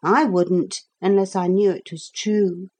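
A woman's voice: mid-range voice, flat delivery, a little slow, a little mellow, breathy edge, voice pushed up. A woman voicing a worried, impatient young boy adventurer in a children's story. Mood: gloomy.

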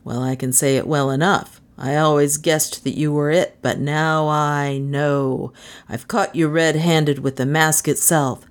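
Lady reader putting on a big gruff cop from a kids' storybook, low voice, suspicious and pleased with himself, folksy talk. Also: steady tone, measured pace. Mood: gruff, triumphant. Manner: folksy, colloquial, gloating. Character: big, gruff, suspicious, self-satisfied policeman.

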